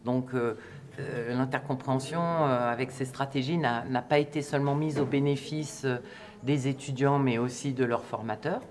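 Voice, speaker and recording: A man giving a reflective interview, speaking hesitantly and deliberately as a teacher-trainer. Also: medium pitch, fairly even intonation, darkish tone.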